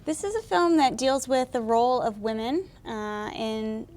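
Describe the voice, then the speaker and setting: Higher-pitched woman hosting an interview. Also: a little slow, darkish tone. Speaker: TV interview host. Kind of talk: interview questions.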